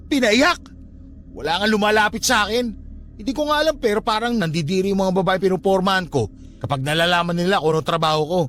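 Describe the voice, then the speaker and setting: A man's voice: high voice, lively intonation, brisk, a bit bright, soft background music. A man playing a stubborn, troubled young man in a Filipino radio drama, defensive and sardonic in manner. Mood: rueful. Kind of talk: Tagalog radio drama acting.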